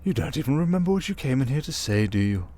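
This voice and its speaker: A man's voice: mid-range voice, big pitch swings, mellow. Man acting a suave, theatrical villain mastermind, swooping intonation. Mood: hypnotic, persuasive. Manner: theatrical, coaxing, condescending, with drawn-out pauses and whispers. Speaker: ASMR roleplay performer. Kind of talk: intimate ASMR villain roleplay monologue. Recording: music behind the voice. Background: music.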